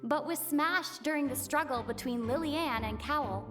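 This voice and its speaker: An actress playing a calm young woman traveler laying out a case in a deliberate, analytical way, over game music. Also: high voice, lightly acted. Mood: thoughtful. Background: game score music.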